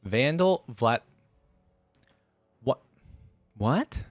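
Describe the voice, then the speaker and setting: A man with a mid-range voice hosts in a casual, off-the-cuff way. He chats informally and thinks out loud as he reacts. The sound is dry, with no room on it. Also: medium pitch, animated, drawn-out words, no echo. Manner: informal, chatty, thinks aloud. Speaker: host of a reaction video.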